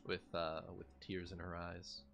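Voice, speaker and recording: A man narrating a tabletop game as game master, improvising and often pausing mid-phrase. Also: low voice, level tone, creaky, a bit bright, soft background music.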